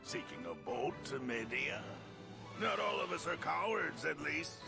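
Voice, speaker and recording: An actor playing a cheeky, unflappable ship captain in a video game, with a theatrical drawl and a gravelly voice. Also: male voice, on the high side, lively intonation, unhurried, full character voice. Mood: teasing, breezy. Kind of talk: video game character acting. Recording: loud music behind the voice.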